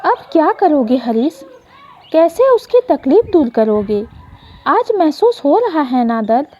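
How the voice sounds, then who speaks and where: A woman's voice: sits high, big pitch swings, measured pace, mellow, lo-fi sound. A woman voicing an anguished, accusing female voice that haunts a guilty conscience in a dramatic story. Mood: taunting.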